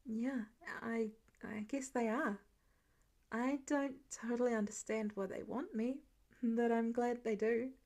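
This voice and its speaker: A woman playing a gentle teenage orphan boy who speaks softly, warmly and a little hesitantly. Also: fairly high pitch, steady tone, takes it slowly. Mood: shy, hesitant. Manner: soft, warm, hesitant.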